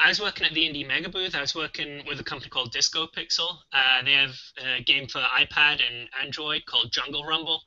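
A man's voice: medium pitch, bright, forceful tone, dry recording, dull audio. A young man, a game developer, co-hosting a casual live stream, talking hesitantly with many ums, on a webcam mic.

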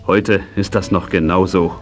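An actor playing a kindly, wistful riding teacher in a children's audio drama. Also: male voice, sits low, fairly even intonation, normal speed, soft background music, close to an everyday voice. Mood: gentle.

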